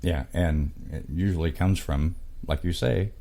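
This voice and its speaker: Very deep, gravelly man in his fifties. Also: a little slow, warm tone. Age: 50s. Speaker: radio show host. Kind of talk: casual interview chat.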